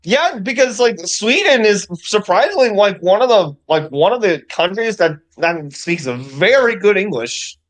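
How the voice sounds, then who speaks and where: A man's voice: sits high, big pitch swings, moderate pace, few pauses, fairly bright tone. A young man from the United States telling a story in casual chat, rambling with fillers and laughing at himself. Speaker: guest storyteller.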